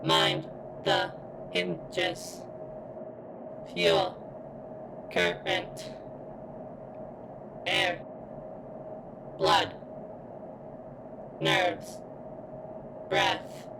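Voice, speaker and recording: Robot girl played by a woman, ASMR roleplay: very low, heavily gravelly, formal, strained as if hurting, longing to be free. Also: big pitch swings, noisy background, heavily acted. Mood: intimate, pained. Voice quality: strained, as if in pain. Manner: formal, halting, deliberate robotic delivery. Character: pained female robot security bot craving freedom and sentience.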